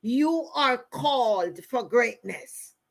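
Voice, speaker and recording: Slow, higher-voiced Jamaican motivational speaker, a woman. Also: lively intonation, creaky. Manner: emphatic, repeats phrases for effect, addresses listeners directly. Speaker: motivational speaker and show host. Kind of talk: motivational talk with personal storytelling.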